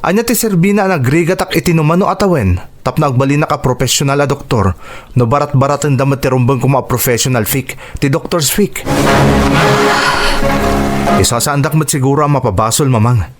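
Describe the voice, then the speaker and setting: A man's voice: medium pitch, a little fast, faint music behind, lightly acted. A man with a Filipino accent acting a proud, embittered doctor arguing heatedly as a grown son in a radio drama. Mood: indignant. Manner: heated, argumentative.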